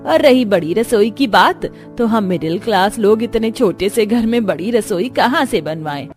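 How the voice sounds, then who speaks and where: A woman's voice: mid-range voice, lively intonation, moderate pace, faint music behind, lightly acted. Woman playing a weary practical mother-in-law. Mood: reasoning.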